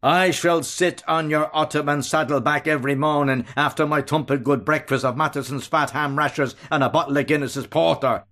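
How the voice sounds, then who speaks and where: A man's voice: a little high, steady tone, fairly quick, hardly any pauses, a bit bright, pitched above the performer's own voice, natural voice. A man voicing a brutal, blustering male brothel keeper who talks in a coarse, bullying, gloating way. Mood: gloating menace.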